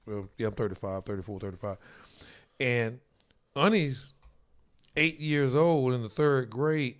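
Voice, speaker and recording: A man's voice: lowish voice, a little mellow. A middle-aged man hosting a sports talk show, talking in a loose, rambling way with many repeats and fillers. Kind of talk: casual studio talk show chat. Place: studio.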